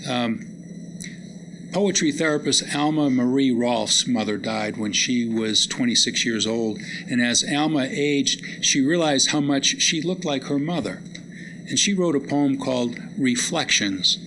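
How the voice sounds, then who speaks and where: Middle-aged guy doing a poetry talk, mid-pitched voice, pretty noisy room recording. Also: a little slow. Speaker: college lecturer and poet presenting readings. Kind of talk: public talk with recited poems. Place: live lecture event before listeners. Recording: noisy live hall recording.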